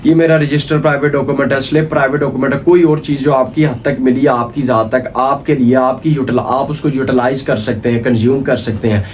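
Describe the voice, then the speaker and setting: A man's voice: medium pitch, fairly even intonation, fast, few pauses, darkish tone, a little hiss or hum. A man with a Pakistani accent giving an informal, explanatory lecture, repeating points and checking in as he teaches. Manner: didactic, repetitive, checks in with "okay". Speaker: law lecturer. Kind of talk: informal explanatory lecture mixing Urdu and English.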